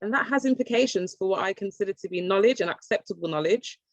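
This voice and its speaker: A woman's voice: mid-range voice, quick pace, no room sound. A British woman giving an informal, chatty online workshop presentation, with many fillers and restarts. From England. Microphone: video call. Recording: muffled dry video call audio.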